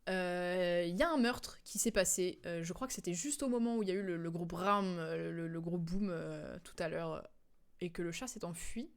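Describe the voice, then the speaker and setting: A woman's voice: lowish voice, normal speed, clear, resonant tone. A woman streamer giving casual game commentary, explaining the story in a hesitant, digressive way.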